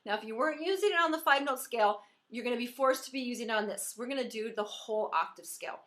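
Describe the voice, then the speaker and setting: A woman voice teacher giving an encouraging singing lesson, explaining pitch and resonance as she demonstrates. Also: animated, fast, fairly bright tone.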